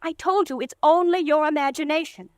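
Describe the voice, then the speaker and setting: A woman's voice: high-pitched, no echo, clean studio sound, close to an everyday voice. An actress playing a practical, firm girlfriend steadying her partner in an old radio drama. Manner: brisk, firm, reassuring. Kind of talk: 1940s radio acting.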